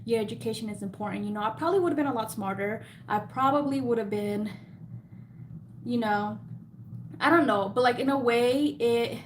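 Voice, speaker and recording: Chatty lady w a slight mexican spanish accent, kinda high voice, faint noise behind, laughs a lot. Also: fairly quick. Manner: chatty, laughs often, self-deprecating. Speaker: beauty business host. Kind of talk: live Q&A chat.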